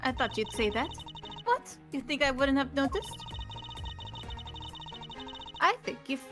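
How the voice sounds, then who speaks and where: A woman voicing a smug, sharp investigator in a video game fan dub, with a taunting, self-assured manner. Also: sits high, lively intonation, slow pace. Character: smug, sharp female investigator sparring over evidence in a game. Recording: music behind the voice.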